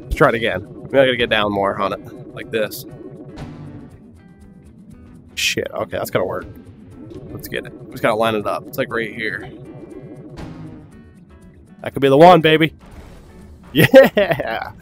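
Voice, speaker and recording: A young man giving casual, excitable gameplay commentary, swearing often and laughing a lot. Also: lowish voice, moderate pace, pauses often, over music. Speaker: gaming YouTuber.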